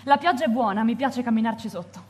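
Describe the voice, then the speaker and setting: A woman's voice: a little high, fast talker, husky. An actress playing an odd, dreamy young girl who speaks in a light, playful, whimsical way in a radio drama.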